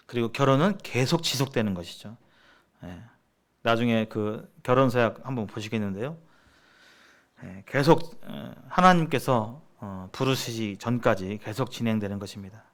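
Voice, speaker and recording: A middle-aged man from South Korea teaching in a sermon-like seminar style, explaining haltingly and restating his phrases as he goes. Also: mid-range voice, animated. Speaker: church seminar preacher.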